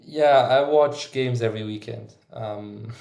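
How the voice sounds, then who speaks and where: A man's voice: medium pitch, measured pace, gravelly, darkish tone. A man, a podcast guest, chatting casually with dry, joking replies. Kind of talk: casual chat. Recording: roomy echo.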